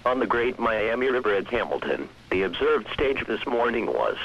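A synthetic American male voice reading a scripted weather bulletin in a measured, impersonal manner. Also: mid-range voice, animated, noisy background. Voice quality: synthetic text-to-speech voice. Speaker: weather radio announcer. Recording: dull lo-fi weather radio broadcast.

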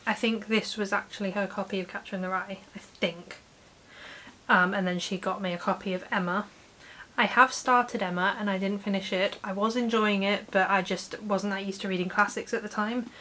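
A faint bed of hiss sits under a British woman's voice. She is in her late twenties and sits at a medium pitch. She talks informally to camera in a home room, like someone running a book channel. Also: fairly even intonation, moderate pace, sharp tone. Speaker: book vlogger. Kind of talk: casual chat to camera. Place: small home room with bookshelves. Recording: clear background hiss.

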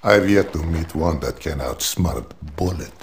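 Deep, boastful game heavy. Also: male voice, lively intonation, unhurried, heavy background noise. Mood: menacing boast. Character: burly, boastful, menacing male gunner in a shooter game. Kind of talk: video game character acting. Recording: loud music behind voice.

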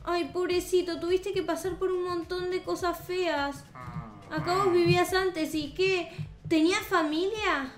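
A young woman with a Rioplatense Spanish accent, hosting a gaming video and improvising roleplay in an expressive, sweet, childlike way. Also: very high-pitched, measured pace. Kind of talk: improvised in-character gameplay roleplay. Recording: roomy echo, music behind. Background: background music.

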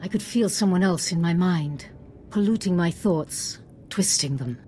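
A kindly woman, a Jedi teacher in a game cutscene. Her voice is soft-edged and mellow, with a solemn, caring delivery. Faint music sits behind it. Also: lowish voice, expressive, measured pace. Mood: regretful. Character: kindly female Jedi master recovering from illness. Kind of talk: video game voice acting.

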